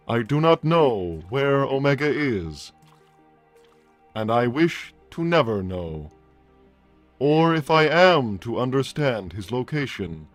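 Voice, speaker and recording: Man voicing a slow, stilted, formal robot. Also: medium pitch. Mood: measured. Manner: stilted, formal, deliberate phrasing. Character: male robot peace consul, formal, wounded and bitter. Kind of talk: improvised character roleplay on a livestream.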